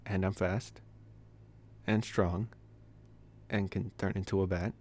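A man playing a possessive, teasing young college vampire in an ASMR roleplay, speaking softly and intimately, with hesitant phrasing, sighs and chuckles. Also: deep voice, level tone, slow pace. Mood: mildly defensive, amused.

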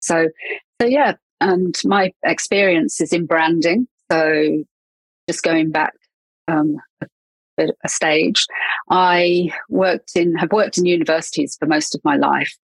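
Husky English woman, chatty guest. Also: low voice, steady tone, slow, no room sound, poor audio. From England. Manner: chatty, laughs often, trails off with fillers and restarts. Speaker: podcast guest, marketing consultant. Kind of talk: casual interview chat.

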